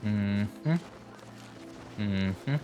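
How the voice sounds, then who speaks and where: A man's voice: on the low side, extremely slow, music in the background. A young man giving casual, slangy live commentary while streaming a video game, muttering asides to the game.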